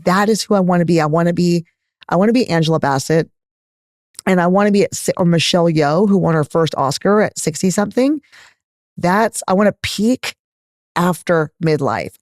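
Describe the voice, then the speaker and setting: A middle-aged woman with a Texas accent, a gynecologist answering interview questions in an animated, candid, emphatic way. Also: fairly low pitch, normal speed, dry recording, studio quality. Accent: Texan. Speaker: gynecologist guest.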